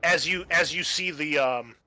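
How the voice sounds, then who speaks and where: A man acting as game master, improvising halting narration for a tabletop roleplaying game. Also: fairly high pitch, bright, forceful tone, rough recording.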